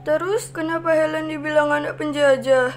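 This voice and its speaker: A woman voicing an innocent, plaintive young girl in an animated horror story. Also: high-pitched, normal speed, soft background music, close to an everyday voice. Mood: sulky.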